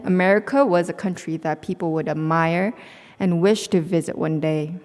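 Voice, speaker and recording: A young woman in her twenties speaks English with a Korean accent, low-pitched for a woman. She talks in a careful, sincere way with little stumbles and restarts. The sound carries the long reverb of a large hall. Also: a little mellow. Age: 20s. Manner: earnest, reading carefully with small restarts. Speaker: student speaker. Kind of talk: prepared personal talk.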